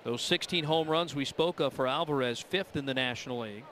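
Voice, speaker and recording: A man's voice: mid-range voice, fairly quick, a little hiss or hum. An American man calling baseball play-by-play on the radio, his voice rising on big plays. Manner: excitable, voice rises on big plays. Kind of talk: live baseball radio commentary.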